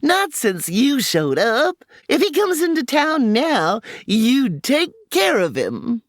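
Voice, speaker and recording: A woman's voice: high-pitched, huge pitch swings, takes it slowly, dry recording, clean studio sound, full character voice. A woman playing a motherly old frontier boarding-house keeper in a comedy audio drama, talking in a folksy, homespun way.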